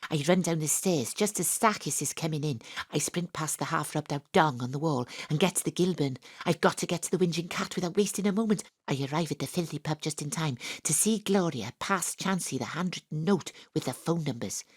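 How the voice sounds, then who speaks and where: An actress voices a daydreaming woman detective narrating her own action scene in a live audio drama. Her voice sits low and she hams up the heroics with a wink at the audience. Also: fairly quick, few pauses, breathy edge, no room sound. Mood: dramatic. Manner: melodramatic, self-mocking first-person narration. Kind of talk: live radio drama acting. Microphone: home studio mic.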